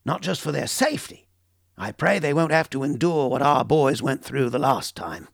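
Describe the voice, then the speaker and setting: A man voicing a gentle, pious middle-aged writer who speaks softly. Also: medium pitch, animated, normal speed, vocal fry, no room sound, clean studio sound. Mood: prayerful. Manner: gentle, soft-spoken.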